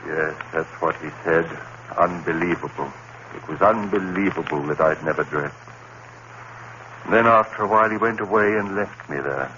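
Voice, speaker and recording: A haunted, brooding man tells his story in a low, theatrical voice. It is old radio suspense drama, with a dulled sound and clear noise over it. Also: normal speed, bright, forceful tone. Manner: brooding, dramatic inner monologue. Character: anguished accused murderer, a biology teacher, haunted narrator. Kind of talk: 1950s radio suspense acting. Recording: dull, noisy old radio recording.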